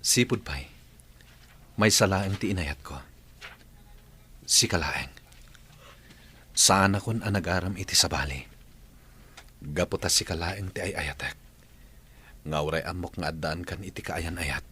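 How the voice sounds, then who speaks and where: Low-voiced, devoted Ilocano suitor. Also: male voice, a little slow, long pauses, bright, forceful tone, breathy edge, full character voice. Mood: earnest, reassuring. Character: devoted, earnest young suitor. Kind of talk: Ilocano radio drama acting.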